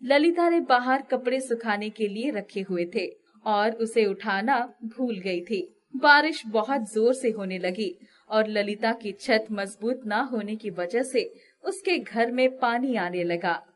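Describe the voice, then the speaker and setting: A woman storyteller with a fairly high voice reads at a slow pace. She delivers scripted children's narration, setting out each event in turn.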